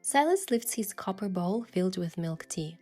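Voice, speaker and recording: An actress playing a playful, determined young woman who narrates her romantic game adventure in a measured, expressive way. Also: mid-range voice, faint music behind, lightly acted. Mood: narrating. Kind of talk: first-person story narration and dialogue.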